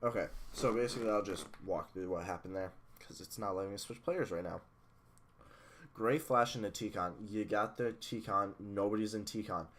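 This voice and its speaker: A man reviewing a match replay as a coach, blunt and analytical, a little hoarse from losing his voice. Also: on the low side, moderate pace, a little hiss or hum. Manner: analytical, blunt, swears at software glitches. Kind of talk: casual match review commentary.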